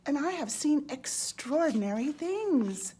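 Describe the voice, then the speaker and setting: A woman voicing a boastful, excitable young male frog from a children's storybook, proclaiming things emphatically. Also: high-pitched, slow pace, voice pushed up, heavily acted. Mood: excited, mysterious. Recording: clearly noisy background.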